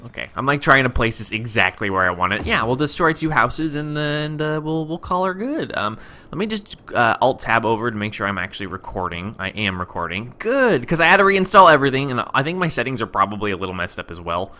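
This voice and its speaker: A young man hosting a casual let's-play, rambling with self-interrupting asides, his voice lower than usual because he has just woken up. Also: big pitch swings, fast talker, bright, forceful tone. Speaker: gaming video host.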